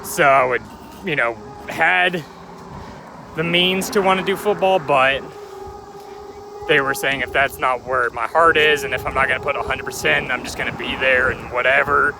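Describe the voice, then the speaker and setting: A man's voice: mid-range voice, fairly even intonation, moderate pace, vocal fry, noisy background. A young man telling his life story in a rambling, chatty vlog, recorded outdoors near a railway. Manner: rambling, chatty, joking aside at passing trains. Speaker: vlogger.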